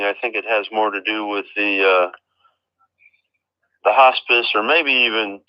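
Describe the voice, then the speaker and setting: An American man talking on a phone call as a caller with a complaint, halting and exasperated, repeating himself. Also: lowish voice, no room sound. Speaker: caller, relative of a patient. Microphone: telephone. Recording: dull lo-fi telephone line.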